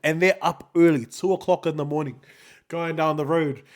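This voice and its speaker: A man's voice: fairly high pitch, steady tone, moderate pace. A man with a New Zealand accent co-hosting a casual podcast, talking in a slangy, laid-back way and often repeating himself. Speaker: podcast co-host.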